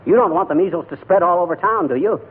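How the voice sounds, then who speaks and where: An actor playing a scheming, penny-pinching husband, folksy and wisecracking, in a 1940s radio comedy. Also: male voice, sits high, fast, lightly acted. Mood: sarcastic. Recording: tinny, faintly noisy 1940s radio recording.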